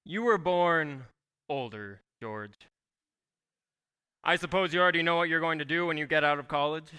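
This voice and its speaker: A man acts a weary, kindly older father in a vintage radio drama. The delivery is measured and reflective, with a crisp, resonant tone and a bright edge, taped live on stage. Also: fairly high pitch. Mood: gentle, hopeful. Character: tired, gentle, idealistic small-town father. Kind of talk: old-time radio play acting on stage.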